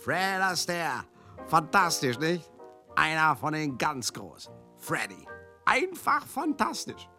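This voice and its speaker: Radio drama: a jovial old-school showbiz manager, male. Expressive, leisurely, confiding chat over faint music. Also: on the high side, slow, heavily acted. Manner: effusive, confiding, addresses listeners as darlings. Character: chatty, theatrical showbiz manager, jovial and sentimental.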